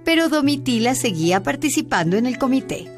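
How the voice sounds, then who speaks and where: Brief: female narrator, calm scripted reading, clear music underneath. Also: high-pitched, fairly quick.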